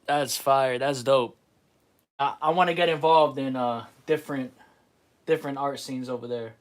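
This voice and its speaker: A man's voice: medium pitch, fairly even intonation, moderate pace. A young man from New Jersey with a New Jersey accent, chatting casually on a livestream, laid-back and slangy with fillers, picked up by a computer mic. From New Jersey, USA. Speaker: artist livestreaming. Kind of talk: casual livestream chat, reading viewer comments.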